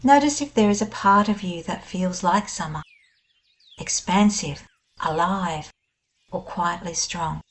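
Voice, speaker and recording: A woman guiding a scripted meditation in a gentle, soothing, unhurried manner. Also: fairly low pitch. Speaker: meditation guide. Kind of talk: scripted guided meditation narration.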